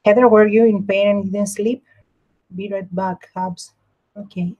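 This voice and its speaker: A woman with a Greek accent and a husky, grainy voice at a medium pitch. She talks casually to viewers while demonstrating a craft, often repeating and rewording her explanations. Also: fairly even intonation, measured pace, dark tone, muffled recording. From Greece. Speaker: craft tutorial host.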